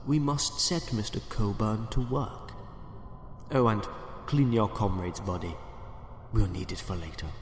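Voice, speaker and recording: Man as a slow, sinister, condescending doctor. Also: fairly low pitch, a little hiss or hum, close to an everyday voice. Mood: cold command. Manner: smooth, condescending, dropping into menacing murmurs. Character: sinister, politely menacing doctor in command. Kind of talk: audio drama acting.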